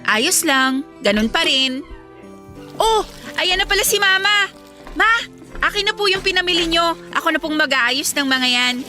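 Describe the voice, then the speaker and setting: Filipino radio drama, friendly younger sister, student age: high-pitched, bright, youthful. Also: female voice, expressive, normal speed, long pauses, full character voice. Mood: easygoing, helpful. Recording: music behind the voice.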